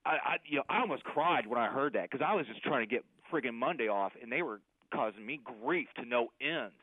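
A male caller on a radio call-in show who asks a rambling, animated question and sounds exasperated. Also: mid-range voice, brisk, no echo. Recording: tinny phone line.

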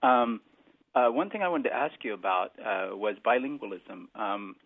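A male caller on a radio call-in show, polite and halting, who hesitates often. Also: fairly low pitch, lively intonation, no room sound. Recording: tinny phone line.

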